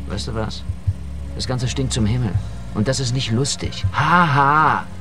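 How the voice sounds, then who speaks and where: An actor playing a suspicious, scornful male drug dealer in a radio drama, with a gruff, mocking delivery. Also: medium pitch, unhurried, music in the background, close to an everyday voice.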